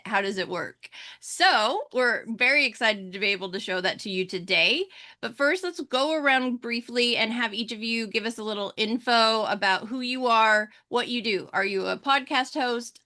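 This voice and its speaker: A woman hosting a workshop on a video call, her voice bright and on the high side. She stays cheerful and upbeat and laughs easily between her lines. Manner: cheerful, quick, laughs often. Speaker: host of a podcasting workshop.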